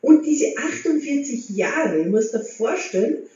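A woman's voice: high voice, expressive, a little slow. A woman, chatting to camera at home as a motivational vlogger and gesturing as she talks. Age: 60s. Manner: chatty, gestures with hands, warm encouraging tone. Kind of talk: casual talk to camera. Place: living room at home. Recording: very echoey room, slightly dull sound.

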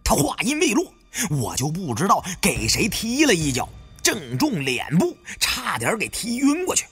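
A man reading dramatic first-person audiobook narration with an expressive storyteller's delivery. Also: high-pitched, normal speed, faint music behind.

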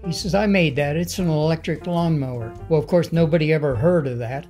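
A man's voice: fairly high pitch, darkish tone. An older man recounting a personal memory in a plain, folksy way.

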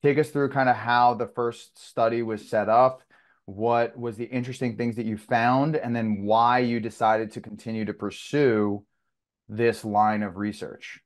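A man's voice: medium pitch, fairly even intonation, measured pace. A young man hosting a podcast interview, asking rambling questions and restarting them as he goes, over a video call. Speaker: podcast host.

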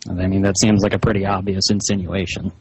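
A man speaking as a caller on a phone, in a measured, hesitant but insistent way, with slightly muffled sound. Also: low voice, flat delivery, a little mellow, husky. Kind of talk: slow, halting phone conversation. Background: phone call recording.

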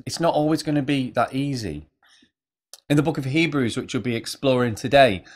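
Male preacher speaking at a middle pitch. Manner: engaging, direct, asks rhetorical questions. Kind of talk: all-age sermon, addressing kids and adults. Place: small plain indoor room.

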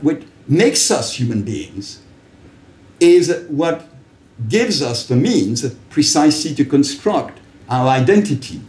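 Older Frenchman lecturing, lively swooping pitch. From Paris, France. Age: older, about 70s. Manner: emphatic, deliberate, rhetorical phrasing with pauses. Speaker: public speaker, lecturer. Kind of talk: live political talk to a small audience. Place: indoors, small wallpapered room with an open view to a window. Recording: roomy echo from camera distance.

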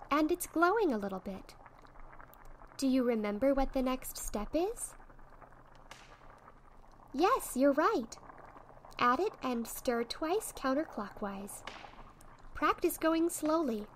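A woman acts out a sweet young witch tutoring a friend in an ASMR roleplay. Her voice is high and unhurried, gentle and full of encouragement. Faint ambient noise sits behind her. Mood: patient, instructive. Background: soft potion bubbling and fizzing effects.

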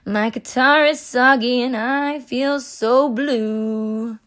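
A woman acts out a seasick, lonesome cartoon state from a storybook. The voice is high and mournful, drawn out in a singsong blues. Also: steady tone, slow, fairly bright tone.

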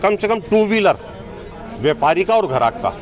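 A man's voice: high-pitched, sing-song, moderate pace, some chatter behind. A man, a trader, earnestly pleading his case into a handheld news mic on an outdoor market street. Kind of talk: street interview.

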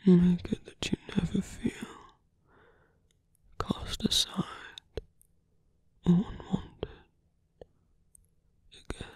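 A man voicing a seductive, possessive villain in an intimate ASMR roleplay, coaxing slowly in a breathy whisper. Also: high-pitched, little pitch movement, darkish tone, no room sound, full character voice.

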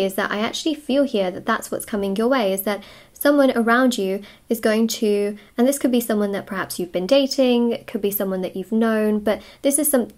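A woman's voice: medium pitch, a little mellow. Conversational woman giving a tarot reading. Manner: conversational, musing, repeats and rephrases herself. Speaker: tarot reader and host. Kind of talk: casual, improvised tarot reading to camera. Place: indoors at a wooden table.

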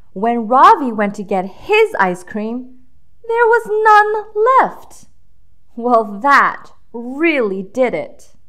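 A woman's voice: a little high, a bit bright. A woman reading a children's storybook aloud with dramatic emphasis, drawing out key words for young listeners.

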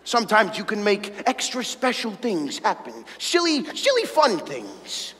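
A man's voice: high voice, wildly swooping pitch, measured pace, heavily acted. A man playing a flamboyant, exuberant wizard mentor in a musical theatre reading, talking in a gushing, playful, theatrical way. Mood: coaxing, playful. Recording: hall reverb, loud music.

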